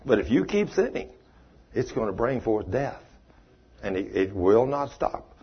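A man's voice: on the low side, big pitch swings, a little slow, husky. A man preaching a sermon, folksy and plain-spoken, rising to emphatic preaching and taking questions from the audience. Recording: dull, muffled live recording.

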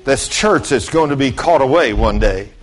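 A man's voice: medium pitch, expressive, normal speed. A man, giving an informal storytelling sermon in a folksy, plain-spoken way, talking straight to his listeners. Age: late 60s. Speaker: preacher.

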